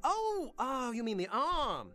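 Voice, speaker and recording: A man's voice: very high voice, huge pitch swings, slow pace, sharp tone, faint music behind, full character voice. An actor voicing a creepy, obsessive male prosthetics maker in a video game, with a genteel, relishing, slyly unsettling way of talking. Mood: eerie cordial. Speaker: game character.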